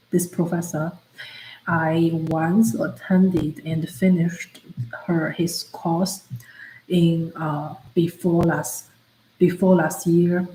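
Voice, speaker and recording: A woman whose first language is Chinese, chatting on a study livestream in a halting way, with many fillers and restarts. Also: lowish voice, warm tone. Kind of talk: casual study-stream chat. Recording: echoey room with faint ambient noise.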